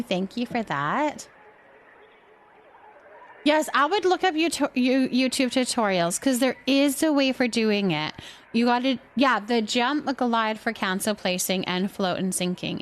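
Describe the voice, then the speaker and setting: Higher-pitched woman chatting on a game livestream. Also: a little hiss or hum. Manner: helpful, explains steps to viewers. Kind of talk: casual livestream chat while playing.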